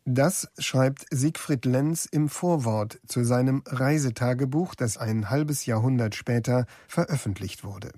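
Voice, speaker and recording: Mellow male documentary narrator, dry studio. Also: medium pitch, measured pace, hardly any pauses, no echo. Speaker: narrator of a radio feature.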